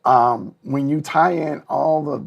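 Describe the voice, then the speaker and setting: Male co-host, middle-aged, husky and creaky, bantering casually. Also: a little high, slow, lo-fi sound. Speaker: talk show co-host.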